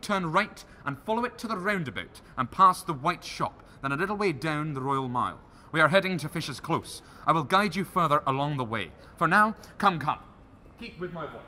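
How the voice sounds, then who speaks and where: A man's voice: on the high side, sharp tone, a little hiss or hum. A man playing a grim ghostly tour guide, narrating in a sinister, theatrical storytelling style. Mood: beckoning. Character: grim ghostly guide to old Edinburgh's bloody past.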